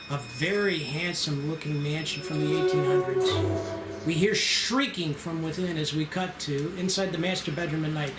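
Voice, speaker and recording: A man narrating a screenplay at a table read with a dramatic storytelling delivery. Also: on the high side. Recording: echoey room with background music.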